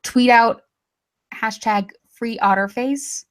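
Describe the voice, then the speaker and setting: A woman's voice: on the high side, slow. A young woman from Texas chatting casually as a guest, hesitant with many fillers and restarts, and dryly sarcastic. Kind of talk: casual chat.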